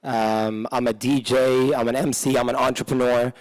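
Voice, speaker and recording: A man's voice: medium pitch, fairly even intonation, normal speed. A man from the UAE, a DJ and former radio presenter, talking casually on a panel with many fillers. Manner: casual, lots of "you know" and "um". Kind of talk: panel self-introduction.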